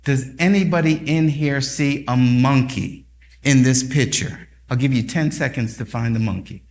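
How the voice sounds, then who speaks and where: A man's voice: mid-range voice, moderate pace, a little mellow. A man presenting at a training session, quizzing the audience and joking. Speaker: workshop presenter. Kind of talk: conference training talk.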